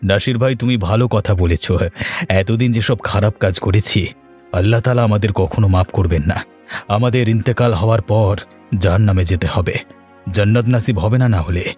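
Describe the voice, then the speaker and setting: A man's voice: on the low side, moderate pace, darkish tone, faint music behind, natural voice. A man voicing a repentant crook in a cartoon, earnest and admonishing as he speaks. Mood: regretful. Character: repentant male crook, an earnest, protective older comrade. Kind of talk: cartoon voice acting.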